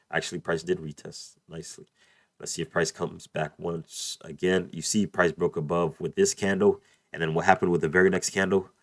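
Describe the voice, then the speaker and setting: A man with an extremely low, deep voice walks viewers through price charts, repeating his favorite praise words and phrases as he goes. He sounds a little off from a recent cold and talks into a desk mic at his computer. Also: no room sound. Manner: repeats "beautiful" and "let's play this out", addresses "you guys". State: just over a cold, voice a bit off. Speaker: trading tutor. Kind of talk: screen-share chart walkthrough.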